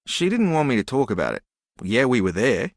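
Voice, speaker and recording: An actor playing a slow-witted, good-natured ex-rugby player in a video game, earnest, literal and befuddled. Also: male voice, medium pitch, very animated, creaky, no room sound, clean studio sound.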